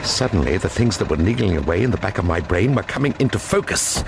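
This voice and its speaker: An actor playing an anxious, wry forger caught up in a murder case in a radio drama, hesitant and doubtful. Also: male voice, deep voice, animated, quick pace, vocal fry, heavy background noise. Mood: wry narration. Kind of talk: radio drama acting with first-person narration.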